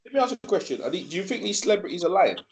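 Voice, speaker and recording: Animated man, rambling fast. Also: high-pitched. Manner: rambling, repetitive, animated conspiracy talk. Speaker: host. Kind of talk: casual live-stream chat.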